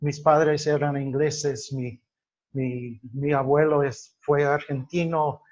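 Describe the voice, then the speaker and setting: Guy teaching a class, kinda high voice, slow and halting, muffled lo-fi audio. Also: fairly even intonation, a little mellow. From United States. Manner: halting, deliberate, with drawn-out pauses. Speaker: lecturer. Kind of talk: explanatory class lecture with personal examples. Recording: dull lo-fi sound.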